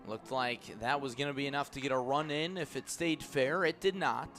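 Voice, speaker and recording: A man working as a radio play-by-play announcer, calling live baseball in crisp, clipped phrases. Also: mid-range voice, moderate pace, a bit bright, soft background music.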